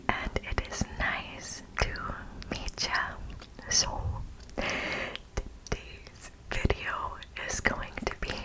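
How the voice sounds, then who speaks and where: A woman hosting ASMR in close whispers to the microphone, chatting casually and excitedly and often repeating words. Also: low-quality recording. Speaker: ASMR video host.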